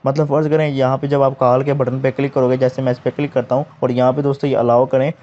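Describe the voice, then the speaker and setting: Fast-talking Pakistani man, dull lo-fi recording. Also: medium pitch, little pitch movement, warm tone. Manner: addresses listeners as friends, repetitive instructional phrasing. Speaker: tech tutorial host. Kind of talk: step-by-step app tutorial narration.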